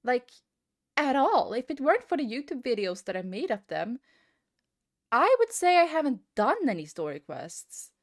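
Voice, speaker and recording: A woman's voice: high-pitched, sing-song, normal speed. A woman giving a casual, rambling opinion rant as a gaming video creator, often hesitating and repeating herself.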